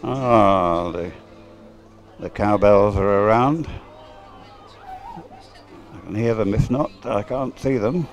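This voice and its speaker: A man's voice: on the low side, slow pace, long pauses, faint music behind, a faint crowd. A man giving live race commentary in an understated, searching way. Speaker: sports commentator.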